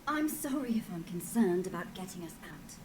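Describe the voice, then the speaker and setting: A woman playing a brisk, defensive fighter in a fantasy video game, speaking in a terse, dramatic way. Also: lowish voice, animated, noisy background, lightly acted.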